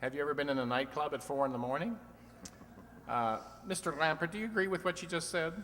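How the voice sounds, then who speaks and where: A man's voice: on the high side, fast talker, fairly bright tone, slight background noise, natural voice. A man playing a sly, teasing host of an old-time radio quiz show, full of dry wisecracks. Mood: wisecracking. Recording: long hall reverb.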